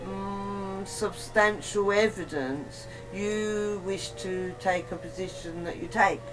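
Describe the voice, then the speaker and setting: A woman giving a casual, rambling account in a chat, halting and often repeating herself. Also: mid-range voice, over music. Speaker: interview guest. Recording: noisy amateur recording of a chat.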